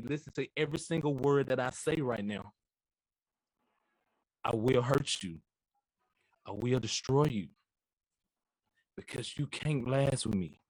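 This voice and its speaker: A man's voice: fairly high pitch, fairly bright tone, poor audio. A man delivering a mock wrestling trash-talk promo, boastful and intense, taunting his rival over and over. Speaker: podcast guest competitor.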